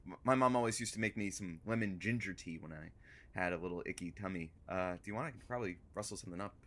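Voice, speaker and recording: A man playing a pushy, excitable radio producer in an audio drama, with a teasing, blurting manner. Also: low-pitched, fast. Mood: awkward concern. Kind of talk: semi-improvised audio drama, bantering.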